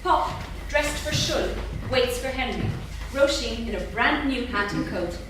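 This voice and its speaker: A woman's voice: a little high, expressive, measured pace. A woman reading stage directions as scripted narration at a staged reading in a theatre. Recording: echoey theatre from far off, noisy.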